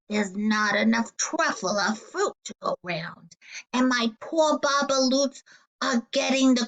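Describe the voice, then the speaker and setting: A woman's voice: on the high side, vocal fry, a bit bright, exaggerated voice, rough recording. Woman reading as a grumpy, scolding forest creature. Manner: scolding, snappish, pleading. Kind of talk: children's storybook read-aloud.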